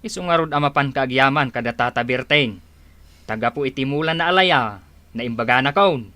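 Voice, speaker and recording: A man as a bright, forceful villager. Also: medium pitch, a little fast, no room sound, close to an everyday voice. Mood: matter-of-fact. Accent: Ilocano. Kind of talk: radio drama acting.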